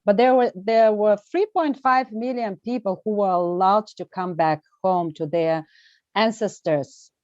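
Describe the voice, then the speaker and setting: A woman with a Bulgarian accent, a guest coach answering in an interview, speaking earnestly and deliberately with emphatic pauses. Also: mid-range voice, a little mellow, no room sound, highs cut off. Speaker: guest, well-being coach. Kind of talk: interview conversation.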